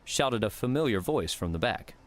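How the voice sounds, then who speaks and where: A man's voice: mid-range voice, lively intonation, normal speed. A man reading scripted story narration as an audiobook narrator.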